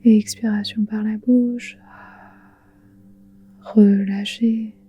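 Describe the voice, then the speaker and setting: A woman guiding a relaxation meditation in a soft, breathy, whispery voice, soothing, with gentle pauses. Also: medium pitch, little pitch movement. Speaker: yoga nidra guide. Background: soft music with singing bowls.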